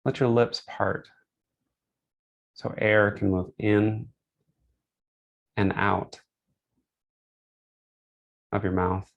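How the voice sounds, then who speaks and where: Low-pitched, creaky-voiced man guiding meditation. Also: steady tone, dull audio. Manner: gentle, unhurried phrasing with pauses. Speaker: guided meditation leader. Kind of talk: guided meditation, instructional and soothing.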